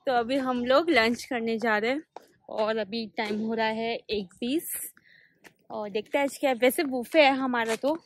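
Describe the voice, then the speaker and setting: A woman's voice: on the high side, moderate pace. A young woman talking straight to her viewers in a casual travel vlog, filmed on a phone held at arm's length outdoors. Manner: chatty, addresses viewers directly. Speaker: vlogger. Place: outdoors at a hill resort.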